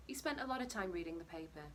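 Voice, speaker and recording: A woman narrating a short story expressively, her phrases sometimes dropping to a hush. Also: lowish voice, expressive, quick pace, a little hiss or hum. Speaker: story reader. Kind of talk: narrated short fiction reading.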